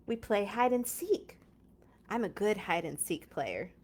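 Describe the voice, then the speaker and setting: A woman reading a children's picture book aloud in an expressive, playful way, with chatty casual asides. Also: mid-range voice, measured pace.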